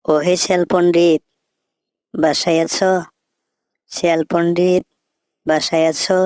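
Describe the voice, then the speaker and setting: A woman's voice: fairly low pitch, level tone, a little slow, darkish tone, no echo, faint music behind, lightly acted. A woman voicing a trusting, simple-minded crocodile parent in a children's cartoon fable, earnest and plainspoken. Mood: calling out.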